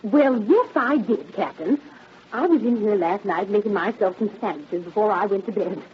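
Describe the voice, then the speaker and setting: An actress playing a chatty, flustered, homely lunch counter owner who rambles in a folksy way, in old-time radio drama style. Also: female voice, mid-range voice, expressive, moderate pace, lo-fi sound, slight background noise, close to an everyday voice. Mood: chatty, confiding.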